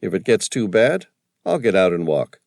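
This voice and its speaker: A man voicing a calm adult male investigator with a dry, understated manner. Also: fairly low pitch, normal speed, creaky, no echo. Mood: wry.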